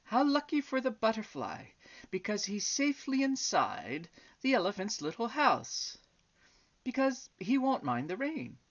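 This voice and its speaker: A storybook reader voicing gentle, chatty trees, musing in a sing-song way. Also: mid-range voice, huge pitch swings, measured pace, muffled recording, pitched above the performer's own voice, exaggerated voice. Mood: musing, content.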